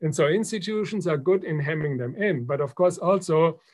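A man in his sixties with a German accent speaks in a fairly high voice on an online academic panel. He explains his points with emphasis, unscripted, into a computer mic in a home office. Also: moderate pace, darkish tone. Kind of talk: unscripted panel discussion over video call. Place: book-lined home office, indoors. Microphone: computer mic on a video call.

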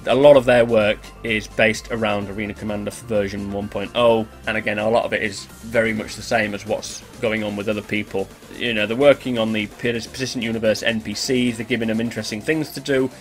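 A man with a blocked-up cold, chatting casually through a weekly roundup of game news as the show's host, rambling with fillers and restarts. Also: medium pitch. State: congested with a cold.

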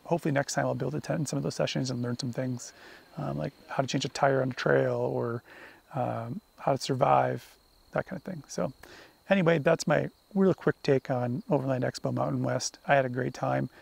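A man in his forties talking casually to a camera held at arm's length at an outdoor campsite, relaxed and rambling with fillers and restarts. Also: mid-range voice, brisk, creaky, breathy edge. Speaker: vlogger. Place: outdoor campsite among pine trees.

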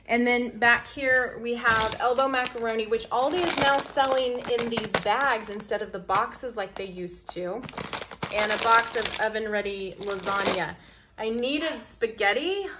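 A woman's voice: medium pitch, a bit bright, a little hiss or hum. Middle-aged mom casually chatting through her grocery haul, homey and talky. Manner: chatty, homey, explains family meal plans. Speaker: family lifestyle vlogger.

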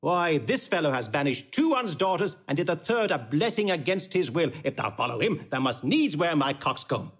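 An actor playing an impudent, riddling court jester in a Shakespearean audio drama, with a playful, mocking delivery. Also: male voice, a little high, big pitch swings, moderate pace, few pauses, breathy edge. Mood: teasing. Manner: mocking, sing-song, playful.

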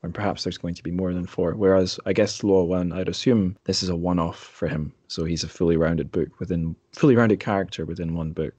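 A man's voice: sits low, steady tone, a little fast, hardly any pauses, dark tone, breathy edge, dry recording. A man hosting a podcast, talking casually about books in an interview. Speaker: podcast host. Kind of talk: casual literary discussion.

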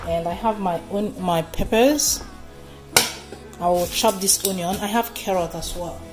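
A woman's voice: fairly low pitch, measured pace. A woman thinking aloud in a casual cooking vlog as she works in her home kitchen. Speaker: home cook vlogger. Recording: loud music behind the voice.

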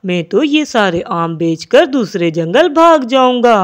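A woman's voice: fairly high pitch, sing-song, unhurried. Scheming male crow for a cartoon, played by a woman, wheedling swindler who plays humble.

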